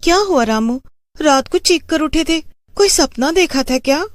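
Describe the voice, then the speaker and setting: A young village woman in a horror tale as put on by a narrator. Her voice sits high, anxious and caring, as if pleading with someone she worries about. It is recorded dry. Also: expressive, moderate pace, no room sound. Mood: concerned.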